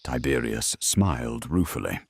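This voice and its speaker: A man reading scripted, dramatic narration for a historical audio drama in a very deep, gravelly, husky voice. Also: animated, measured pace, no echo, clean studio sound.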